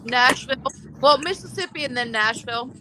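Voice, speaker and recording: A woman chatting casually on a live stream from an airport gate, animated and quick to laugh. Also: high voice, noisy background. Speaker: guest.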